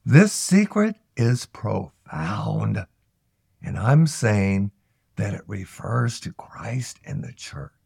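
A man reciting scripture from a text in a measured, emphatic way, phrase by phrase. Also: on the low side, big pitch swings, vocal fry, darkish tone, breathy edge, dry recording, studio quality. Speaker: scripture reader. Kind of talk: scripted Bible reading, recited aloud.

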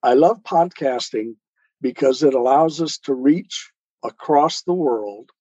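A man's voice: mid-range voice, measured pace, a little mellow, no room sound, lo-fi sound. A man speaking as an interview guest, explaining his views as an author in a reflective, conversational way. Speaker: guest author, storyteller and podcaster.

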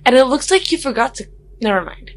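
A woman's voice: sits high, big pitch swings, fast talker, vocal fry. A woman playing a tabletop roleplaying game, talking in character with hesitant, informal phrasing. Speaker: player in a tabletop roleplaying podcast. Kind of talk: improvised in-character roleplay chat.

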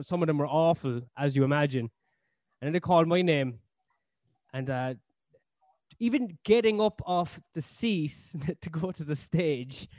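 Rambling Cork Irish comedian, higher voice. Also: male voice, a little mellow, no echo. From Ireland. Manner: rambling, filler-heavy, swears casually. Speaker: comedian guest. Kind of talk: casual interview storytelling.

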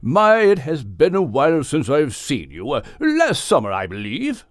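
A man's voice: a little high, wildly swooping pitch, normal speed, no echo, clean studio sound. A man voicing a genteel, distinguished male whale with a proper English accent and formal, cheerful phrasing. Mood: delighted.

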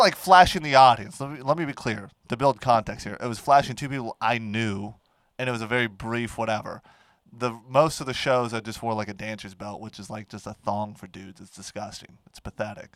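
A man, co-hosting a casual comedy podcast and telling stories in an animated way, close to a microphone. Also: mid-range voice, no echo. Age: 20s. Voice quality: nasal. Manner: animated storytelling, quick to laugh. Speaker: podcast co-host.

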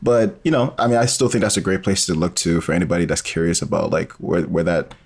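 Very deep-voiced man, casual interview guest. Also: fairly even intonation, fast talker, breathy edge. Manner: informal, thinks aloud in loose rambling explanations. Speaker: interview guest, sci-fi audio storyteller.

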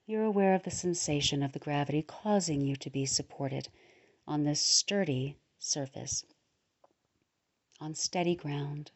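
A woman leading a guided relaxation meditation in a soothing, unhurried manner, pausing between short phrases. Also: deep voice, dull audio. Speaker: guided meditation leader, podcast host.

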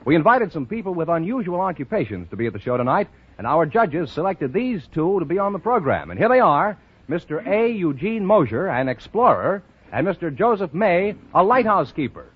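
A man's voice: on the high side, very animated, fairly quick, slightly rough. A man announcing a 1940s radio show in a formal, presentational way. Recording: muffled old radio recording.

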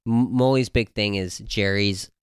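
Low, clean-voiced American guy talking sports. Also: a little slow, clean tone, dry recording, clean studio sound. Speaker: podcast co-host. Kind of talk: casual sports fan chat.

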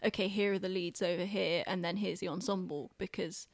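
A young woman hosts a casual conversation on a close, dry microphone. She talks informally, hedging her points and gently putting herself down as she works through her thoughts. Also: fairly low pitch, fairly even intonation, a little fast, no echo. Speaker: podcast host, theatre director. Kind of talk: casual chat.

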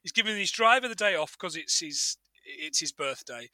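A man's voice: a little high, expressive, normal speed, pushed and bright, no echo. A man co-hosting a film podcast, recapping casually in a jokey, sardonic and sweary way.

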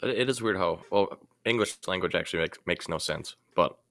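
Man, low gravelly voice, fast talker, casual podcast chat. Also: fairly bright tone. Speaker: podcast co-host.

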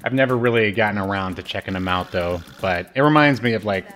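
A man's voice: on the low side, fast talker, a bit bright. A man co-hosting a podcast, talking in a casual, unscripted way. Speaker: podcast co-host.

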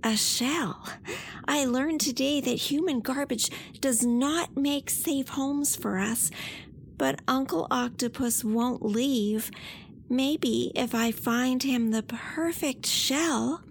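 A very high, childlike voice playing a plucky young octopus in a children's audio story. The delivery is earnest and unhurried, with slow, open-hearted phrasing, over faint background ambience. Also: female voice, slight background noise. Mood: earnest, worried.

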